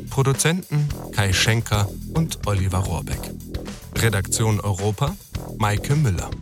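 A man reading scripted credits as an announcer. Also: lowish voice. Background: loud guitar music.

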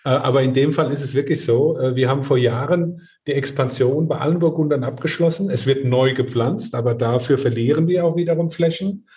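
A middle-aged man with a soft, mellow voice talks casually about his trade as a winemaker. He is earnest and emphatic, often checking for agreement with little tag questions. His pitch sits at an average male level. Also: a little fast, hardly any pauses. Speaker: winemaker, guest. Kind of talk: casual conversation about own work.

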